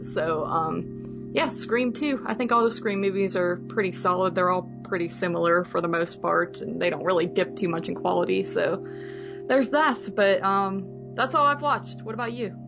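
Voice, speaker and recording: A woman's voice: fairly low pitch, moderate pace, vocal fry. Woman on a movie podcast just chatting casually, bit lo-fi sound, music playing behind her. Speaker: podcast co-host. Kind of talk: casual movie review chat.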